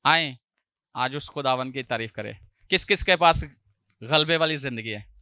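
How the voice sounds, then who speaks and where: A male pastor, preaching a sermon with a sore throat, often clearing it and rising loudly on hallelujah. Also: medium pitch, moderate pace, dry recording, studio quality. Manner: preaching with loud rises on hallelujah and jokey asides.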